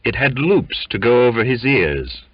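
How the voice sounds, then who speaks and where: A man narrating a literary audiobook in a measured, expressive storytelling manner, in dull, muffled lo-fi audio. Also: medium pitch, breathy edge.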